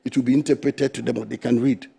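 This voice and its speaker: A middle-aged Ghanaian man, president of a fisheries association, arguing his case forcefully and emphatically as a guest on a TV studio discussion. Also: medium pitch, fairly even intonation, fast, creaky, breathy edge.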